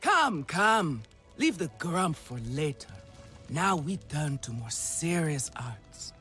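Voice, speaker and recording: A playful alien villager, slow talker with big pitch swings, teasing, theatrical, game cutscene. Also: male voice, big pitch swings, pushed and bright, soft background music, full character voice. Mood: amused. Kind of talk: dramatic video game acting.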